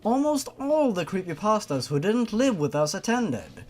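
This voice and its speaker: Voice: mid-range voice, sing-song, normal speed, a little mellow. A host reading fan fiction aloud and breaking in with sarcastic, exasperated, sweary commentary. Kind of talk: story reading with mocking commentary.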